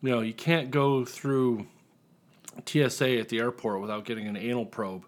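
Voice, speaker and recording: A man's voice: medium pitch, steady tone, moderate pace. A tipsy American man from Indiana chatting casually as an author guest, rambling, self-deprecating and profane. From Indiana, United States. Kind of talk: casual chat.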